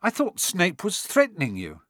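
A man's voice: sits high, wildly swooping pitch, moderate pace, fairly bright tone, dry recording, clean studio sound, pitched above the performer's own voice, exaggerated voice. A man voicing a young boy hero in an audiobook, earnest, incredulous and blurting out his words. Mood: urgent, questioning. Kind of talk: audiobook character acting.

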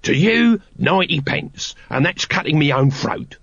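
Guy doing a shifty sausage seller, whiny high sales talk, kinda lo-fi. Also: sing-song, measured pace, gravelly, a bit bright, breathy edge, no echo, heavily acted. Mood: hawking. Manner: whiny, hawking, sing-song sales patter. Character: wheedling fast-talking male street sausage seller.

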